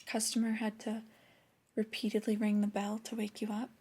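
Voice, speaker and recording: A woman playing a flirty, disappointed store boss in a soft-spoken ASMR roleplay, breathy and often whispering, with a slow, intimate scolding manner. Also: medium pitch, monotone, lightly acted. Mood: reproachful, skeptical. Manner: slow, deliberate, intimate reprimanding.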